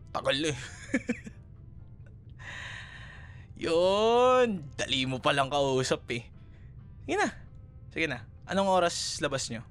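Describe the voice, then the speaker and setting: Man acting a young Filipino ex-boyfriend, audio roleplay, playful teasing, animated intonation, casual code-switching, soft music behind. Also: fairly high pitch, full character voice. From Philippines. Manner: teasing, chuckles often, Taglish code-switching. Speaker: roleplay voice performer.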